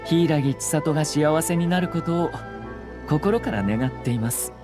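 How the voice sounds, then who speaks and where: A man playing a composed, courtly young nobleman in a video game, polite and deliberate. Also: fairly high pitch, animated, music in the background. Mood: calm, assured. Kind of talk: Japanese video game voice acting.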